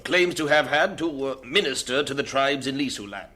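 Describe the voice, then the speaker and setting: A man's voice: mid-range voice, lively intonation, normal speed, sharp tone. A man playing a stubborn, formal British senior missionary in an audio drama, with a fussy, proper manner. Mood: fussy, worried.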